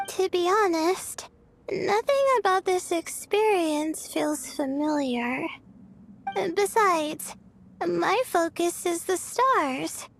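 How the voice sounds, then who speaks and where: A woman voicing a shy young astrology student in a video game, gentle and hesitant. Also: very high-pitched, a little hiss or hum, exaggerated voice. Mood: apologetic. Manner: hesitant, soft-spoken, gentle.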